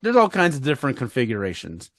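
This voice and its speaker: A man's voice: mid-range voice, wildly swooping pitch, fast talker, fairly bright tone, no echo. A man with a Midwestern accent hosting a podcast in casual chat, rambling, repeating himself and laughing often. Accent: Midwestern American. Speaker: podcast host.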